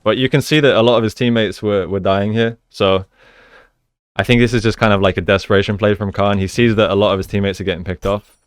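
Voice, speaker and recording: A man's voice: lowish voice, steady tone, fast talker, clean tone, dry recording, studio quality. A man giving casual, explanatory gameplay commentary as an esports coach, using gamer slang. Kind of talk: live gameplay commentary and breakdown.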